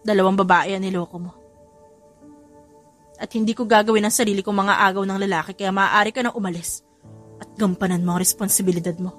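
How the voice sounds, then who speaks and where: A woman's voice: medium pitch, steady tone, a little fast, long pauses, soft background music, close to an everyday voice. A woman playing a proud, wounded young mother in an audio romance drama. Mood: hurt, angry. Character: hurt, proud young mother rejecting her lover.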